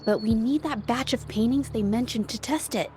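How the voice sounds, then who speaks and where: An actress playing a thoughtful, determined investigator in a video game, musing deliberately. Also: female voice, fairly high pitch, noisy background, close to an everyday voice. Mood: focused. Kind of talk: video game dialogue acting.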